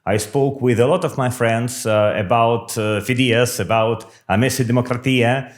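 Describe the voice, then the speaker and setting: A middle-aged man speaks English in a measured, explanatory way, pausing on frequent fillers as he answers interview questions. His voice sits at an ordinary middle pitch for a man. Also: fairly even intonation. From Russia. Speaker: political candidate. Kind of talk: podcast interview.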